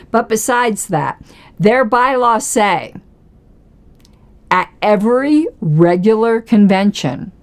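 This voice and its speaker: A hoarse woman hosting a casual political commentary livestream, arguing her point with rhetorical questions. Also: medium pitch.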